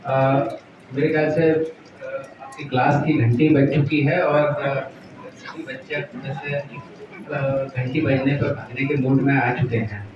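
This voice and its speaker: A man giving a formal congratulatory speech at an event, hesitant, with frequent pauses. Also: mid-range voice, steady tone, a crowd in the background. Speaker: speaker at a workshop opening. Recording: very echoey hall, distant, muffled, noisy.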